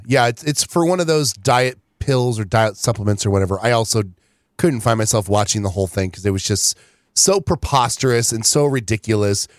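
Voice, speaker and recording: A man co-hosting a casual podcast chat while he has a cold, chatty and relaxed with lots of "you know.". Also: mid-range voice, no room sound, studio quality. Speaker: podcast co-host.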